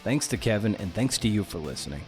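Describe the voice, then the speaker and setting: Podcast host guy, lowish voice, encouraging, chatty with little pauses. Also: animated, a little mellow, soft background music. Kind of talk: conversational interview and podcast outro.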